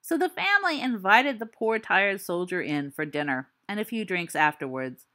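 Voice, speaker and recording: A woman's voice: mid-range voice, animated, moderate pace, pushed and bright. A woman voicing a teenage boy wizard who tells a ghost story with theatrical flair and dry asides. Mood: spooky. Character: teen boy wizard spinning a spooky tale.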